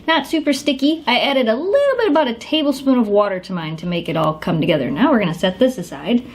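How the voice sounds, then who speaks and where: A woman's voice: on the high side, big pitch swings, quick pace, a little hiss or hum. A woman hosting a casual home cooking how-to in her kitchen, chatting to her dogs as she works. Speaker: home cook demonstrating a recipe.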